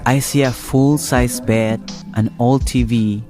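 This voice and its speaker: A man tells a first-person story in scripted radio narration. His voice sits at an ordinary middle pitch. Light music plays behind him. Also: fairly even intonation, slow.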